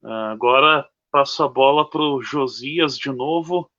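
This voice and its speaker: A man's voice: medium pitch, a little slow, pushed and bright. A man on a discussion panel, explaining steadily and often ending with a tag question. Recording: dull lo-fi call audio.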